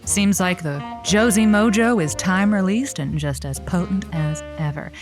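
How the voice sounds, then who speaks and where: A woman's voice: on the low side, normal speed, natural voice. Lady doing a breezy encouraging late night radio host, flirty patter, music playing under it, comedy podcast. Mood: flirty upbeat. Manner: playful, breezy.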